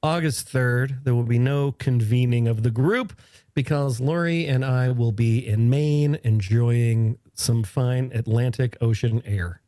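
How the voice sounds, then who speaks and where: A man's voice: medium pitch, a little slow, warm tone, no room sound. A man co-hosting a casual podcast, with a jokey, playful manner of banter. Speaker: podcast co-host. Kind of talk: casual chat.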